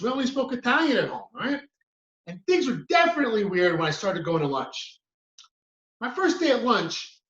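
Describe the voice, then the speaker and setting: Man, stand-up comedian, animated storytelling, echoey room, muffled sound. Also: high voice. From United States. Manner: animated storytelling, acts out his mother and friend.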